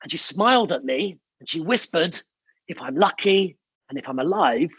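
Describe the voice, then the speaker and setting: A man's voice: on the high side, expressive, normal speed, fairly bright tone, breathy edge, no room sound, rough recording. A man who grew up in the UK, with Italian as his first language, giving a motivational keynote in an emphatic storytelling manner with short punchy phrases. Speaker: keynote speaker.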